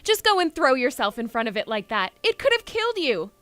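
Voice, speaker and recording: Audio drama heroine played by a woman: young, brave, fiery princess, high-pitched and very animated. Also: a little fast, no echo, faint music behind. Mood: upset, scolding. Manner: emotional, exclamatory delivery.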